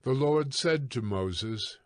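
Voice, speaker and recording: A man narrating scripture from a script in a solemn, measured manner. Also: medium pitch, lively intonation, no echo, studio quality.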